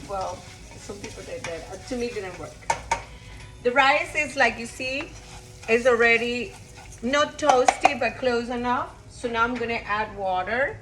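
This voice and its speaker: A woman's voice: on the high side, background noise. A woman from Costa Rica chatting casually while she cooks in her home kitchen, rambling and blunt with her asides. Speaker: home cook vlogger. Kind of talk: casual cooking vlog.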